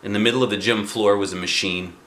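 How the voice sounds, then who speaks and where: A man narrating a children's book aloud with an expressive, dramatic delivery. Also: lowish voice, quick pace. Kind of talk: animated children's storybook reading. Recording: echoey room.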